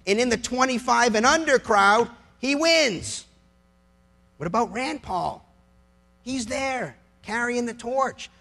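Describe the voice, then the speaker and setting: A middle-aged American man delivering an impassioned political speech to a live audience, emphatic, with rhetorical questions and dramatic pauses. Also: extremely high pitch, expressive, vocal fry, pushed and bright. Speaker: lawyer giving a public speech.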